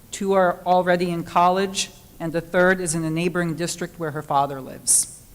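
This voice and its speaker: Middle-aged woman, candidate speech, steady and prepared, reverberant cafeteria. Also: fairly low pitch. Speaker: school board candidate. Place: school cafeteria. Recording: hall reverb.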